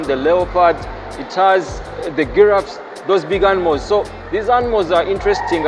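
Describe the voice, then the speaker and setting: A man's voice: a little high, poor audio. A Ugandan man with a Ugandan accent talking at length in a casual chat. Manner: expansive, explains at length. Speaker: guest.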